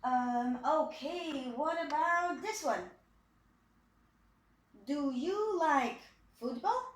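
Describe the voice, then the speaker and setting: Woman in her 20s from Poland, kids' English teacher, patient and repetitive, high lively pitch. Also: drawn-out words, echoey room. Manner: patient, repeats phrases for pupils to copy. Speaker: English teacher for young children. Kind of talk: slow, clearly spoken video lesson for kids.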